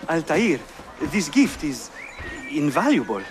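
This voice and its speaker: A high-voiced courteous merchant traveler. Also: male voice, very animated, slow pace, noisy background. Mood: grateful, warm. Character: courteous, respectful male merchant traveler, a young father. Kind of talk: video game cutscene acting.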